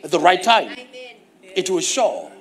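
A middle-aged man with a Zimbabwean accent preaching a sermon on a church stage, animated and repeating key phrases, calling for responses. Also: sits high, unhurried. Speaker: pastor.

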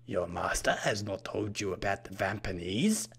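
Storybook monster, acted by a male narrator: haughty male vampire-like killer, breathy and husky, lowish, oddly deliberate delivery. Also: lively intonation, fairly bright tone, exaggerated voice. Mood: cold, disdainful. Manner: odd, deliberate way of talking with drawn-out phrases. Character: menacing, haughty purple-skinned vampire-like killer, male, unhurried.

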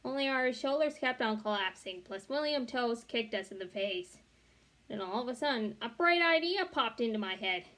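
A woman's voice: fairly high pitch, animated, normal speed, sharp tone. A young woman reading a children's chapter book aloud expressively, with occasional stumbles and self-corrections. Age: young adult. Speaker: read-along storyteller. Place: small bedroom, indoors.

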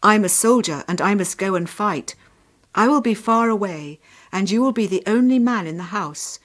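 A woman voicing a stern soldier father in a storybook, speaking in a forceful, commanding manner. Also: medium pitch, moderate pace, lightly acted.

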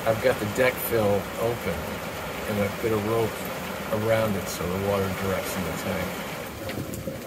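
Man, sailing vlog host, relaxed narration, on a small boat, loud background noise. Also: lowish voice, unhurried, long pauses. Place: aboard a small sailboat. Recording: camera mic with loud wind, water and rain noise.

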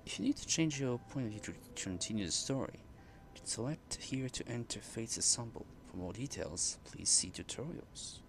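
A man's voice: mid-range voice, expressive, moderate pace, creaky, background noise. A man giving casual game commentary as a streamer, reacting and reading on-screen text aloud. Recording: loud game music behind the voice. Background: video game music.

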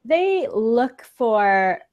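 A woman's voice: on the high side, very animated, very slow, lo-fi sound. A young woman in her twenties from the San Francisco Bay Area, a guest speaking casually and upbeat on a live webcam video call, laughing often and using many fillers. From San Francisco Bay Area, USA. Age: 20s. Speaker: interview guest, pageant delegate. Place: indoor room, sitting on a sofa.

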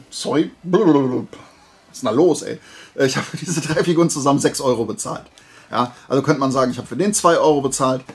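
A man's voice: medium pitch, husky. A man chatting casually to viewers as a video creator, colloquial and playful, wandering off into little digressions. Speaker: video creator presenting flea market finds. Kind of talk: casual rambling chat to viewers.

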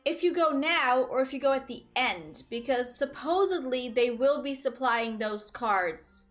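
A woman's voice: sits high, a little slow, faint music behind. Giggly chatty young woman streamer. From Portugal. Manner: chatty, giggly, rambling, animated. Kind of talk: casual chat with live chat. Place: small room indoors at a desk. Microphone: headset.

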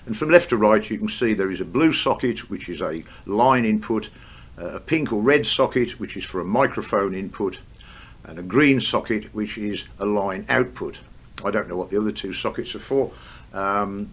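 A man in his sixties or older with a fairly low voice. He explains technical matters informally, taking his time and pausing with hesitations. His manner is modest, like a hobbyist presenter talking in a home study. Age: 60s or older. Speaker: presenter of a vintage audio technical video. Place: small home study with desk and bookshelves.

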